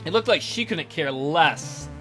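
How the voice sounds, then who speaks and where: Animated woman narrating a game playthrough. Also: deep voice, a little slow, rough recording. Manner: irreverent, jokey. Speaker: let's play host reading game text. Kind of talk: narrating a visual novel with joking asides. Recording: music behind the voice.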